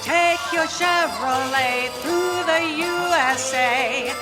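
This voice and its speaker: A woman's voice: high-pitched, takes it slowly, loud music behind the voice. A woman singing a vintage advertising jingle live on a theater stage. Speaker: jingle singer.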